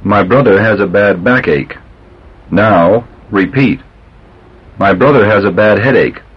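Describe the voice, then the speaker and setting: A man reading English drill sentences for a language tape, enunciating slowly and deliberately. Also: fairly low pitch, breathy edge. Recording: dull old language tape.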